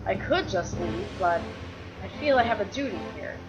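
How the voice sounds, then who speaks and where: A woman's voice: medium pitch, animated, moderate pace, highs cut off, loud music behind the voice, close to an everyday voice. A woman playing a weary, guilt-ridden warrior and reluctant champion, telling her story earnestly in a fan-made fantasy drama. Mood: resolute. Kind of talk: dramatic dialogue in a fan-made motion comic.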